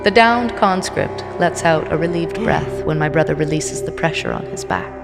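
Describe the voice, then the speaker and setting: A conflicted, tense female soldier voiced by a woman tells her story in dramatic first-person audio drama narration. The voice is low and creaky, set over clear background music. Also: sing-song, moderate pace, full character voice. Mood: tense, hushed. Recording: long reverb, music under the voice.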